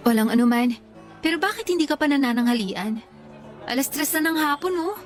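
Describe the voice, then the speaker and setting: A woman's voice: high voice, expressive, brisk. A woman playing a frank, teasing best friend in a Tagalog radio drama, talking in playful slang. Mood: concerned, curious. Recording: music behind the voice.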